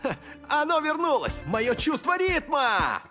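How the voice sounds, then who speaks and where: A man voicing a proud, touchy star footballer in an animated cartoon, cocky and emotional. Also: very high-pitched, a little slow, soft background music, exaggerated voice. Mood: confident.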